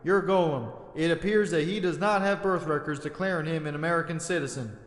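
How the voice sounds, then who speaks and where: A man's voice: a little high, fairly quick, natural voice. A man playing a cold immigration agent in a comedic audio drama, stiff, curt and bureaucratic. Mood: officious, curt. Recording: echoey, reverberant hall.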